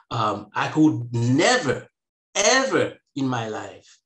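A man in his thirties on a video call from home, speaking slowly and reflectively with frequent pauses. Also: mid-range voice, a bit bright, roomy sound, rough recording. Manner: slow and reflective, hesitant fillers. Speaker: panelist. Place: home room. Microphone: earbuds on video call.

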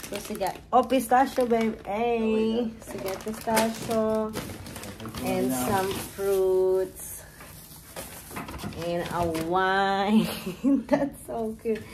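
A young woman chatting to her phone camera in a hotel room, gushing and tired, her voice trailing off softly at times. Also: mid-range voice. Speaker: vlogger. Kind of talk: casual travel vlog. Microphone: phone at arm's length. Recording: noisy phone recording.

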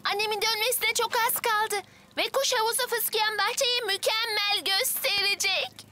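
Very high-pitched bossy cartoon girl. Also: fairly even intonation, moderate pace, heavy background noise. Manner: commanding, insistent. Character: bossy, eager young girl organising her friends. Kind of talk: animated children's cartoon dub. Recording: loud music behind the voice.

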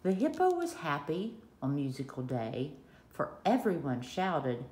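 A woman reading a children's picture book aloud in short, deliberate rhyming phrases, recorded at a table at home. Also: sits low, huge pitch swings, a little mellow. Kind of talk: read-aloud storytelling. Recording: roomy echo, faint background noise.

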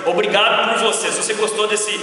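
A man in his forties with a Brazilian Portuguese accent, hosting a sales interview in an energetic, fast-talking, salesy way. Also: high-pitched. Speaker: host. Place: small classroom, indoors. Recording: echoey room from far off.

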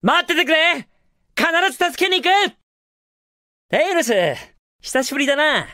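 Cocky young hero hedgehog, lively delivery. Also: male voice, very high-pitched, normal speed, no room sound, studio quality, heavily acted. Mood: urgent, shouting. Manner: confident, casual, brash delivery. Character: cocky, upbeat, heroic young male hedgehog, cool and daring. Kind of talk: Japanese video game voice acting, short clips.

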